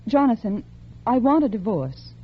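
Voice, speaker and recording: A woman's voice: fairly high pitch, sing-song, moderate pace, husky. An actress playing a scheming, unhappily married woman in love, with earnest, dramatic mid-century radio acting. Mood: pleading, determined. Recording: dull old radio recording with music.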